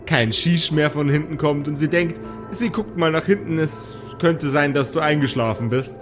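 A man's voice: on the high side, moderate pace, music in the background. A man improvising narration as the game master of a tabletop role-playing audio play.

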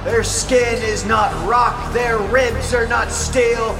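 An angry, commanding god calls out from afar in a very high, echoing voice. The words come slowly, chanted like a battle cry in an epic audio drama. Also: male voice, flat delivery. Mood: angry rallying cry. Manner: declamatory, commanding, drawn-out chanting delivery. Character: angry god Apollo shouting bold battle exhortation from a tower. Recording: echoing, distant voice over music.

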